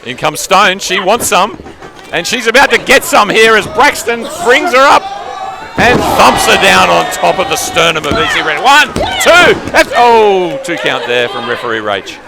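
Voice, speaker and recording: A man with a booming voice calls a live wrestling match, pitched a little high and swinging up and down a lot. He sounds excitable and theatrical. A loud crowd roars behind him. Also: normal speed, creaky. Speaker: play-by-play commentator. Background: loud cheering crowd.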